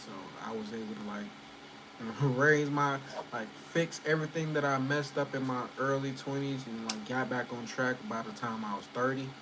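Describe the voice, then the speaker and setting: A man's voice: medium pitch, normal speed, noisy background. A man in his early thirties chatting loosely at home with viewers, rambling, full of fillers and swearing. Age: 30s. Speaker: channel host chatting with viewers. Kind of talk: casual livestream chat.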